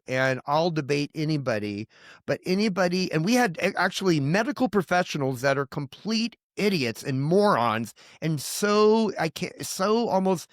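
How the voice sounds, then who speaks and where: A man's voice: a little high, no room sound, studio quality. Earnest middle-aged man pastor. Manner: earnest, emphatic, grows heated and indignant. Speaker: pastor, podcast guest. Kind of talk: candid testimony in conversation.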